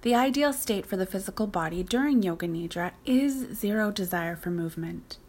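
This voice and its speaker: Soft, breathy woman guiding a meditation. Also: medium pitch, animated. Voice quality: soft, breathy, often near-whispered. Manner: soothing, unhurried, gently instructive. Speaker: yoga nidra teacher. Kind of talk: guided meditation narration.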